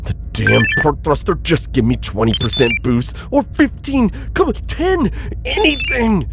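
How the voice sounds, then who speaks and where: A man's voice: on the high side, expressive, measured pace, over loud music. A man playing an anxious, pleading insectoid alien bounty hunter in a sci-fi audio roleplay, flustered, hesitant and formally wordy. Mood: frantic, straining. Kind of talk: scripted audio roleplay addressed to listener.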